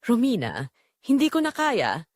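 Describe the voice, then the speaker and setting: A woman's voice: on the high side, huge pitch swings, measured pace, no room sound, studio quality, voice pushed up, heavily acted. A woman voicing a guilty, fed-up man leaving his lover in a drama story, agitated, with a Filipino accent.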